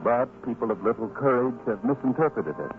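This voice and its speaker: A man narrating an old radio drama as announcer, in a solemn, formal, measured manner. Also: mid-range voice. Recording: muffled old radio broadcast with music. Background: music.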